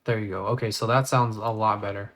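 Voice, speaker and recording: A man hosting a casual software tutorial, thinking aloud step by step as he works. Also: lowish voice, level tone, fast talker, background noise. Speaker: tutorial host.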